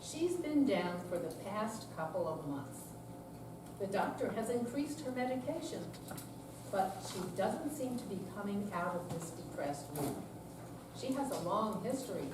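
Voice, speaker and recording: A woman's voice: mid-range voice, natural voice. An older woman in an amateur staged reading, playing a patient, candid retired woman and devoted daughter. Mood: worried. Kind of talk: amateur readers theater. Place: senior center, recorded from afar. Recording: far-off echoey room, clear background noise.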